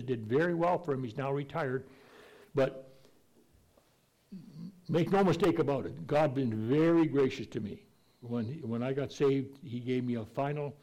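A man's voice: medium pitch, moderate pace, pauses often, warm tone, rough recording. A man preaching a conversational Bible teaching, folksy and plainspoken, with an occasional stutter and restarts.

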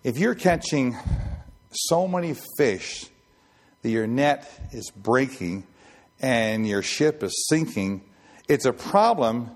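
A man in his sixties with a raspy voice, giving an informal Bible teaching and rambling with many fillers and restarts. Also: medium pitch, animated, slow pace. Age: 60s. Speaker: ship chaplain preacher.

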